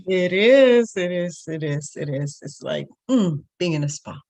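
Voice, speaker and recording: Low-pitched Puerto Rican woman coach. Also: animated, measured pace, vocal fry, darkish tone. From Puerto Rico. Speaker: spiritual coach and channeler. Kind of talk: unscripted spiritual talk, answering questions.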